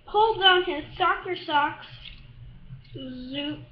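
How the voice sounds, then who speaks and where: A woman reading a children's picture book aloud, haltingly, stumbling over and repeating words. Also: very high-pitched, echoey room, lo-fi sound, a little hiss or hum. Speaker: storybook reader.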